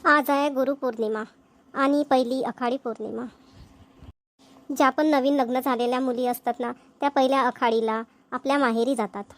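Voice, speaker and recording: High-pitched woman hosting a video. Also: fairly even intonation, moderate pace. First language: Marathi. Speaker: channel host. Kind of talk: video outro addressed to viewers.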